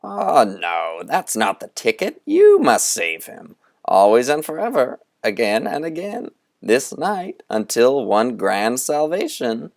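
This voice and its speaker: A man's voice: mid-range voice, wildly swooping pitch, takes it slowly, gravelly, no echo, clean studio sound, pitched above the performer's own voice, exaggerated voice. A man doing a grand theatrical old trickster. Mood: commanding. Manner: showy, sweeping, declaiming.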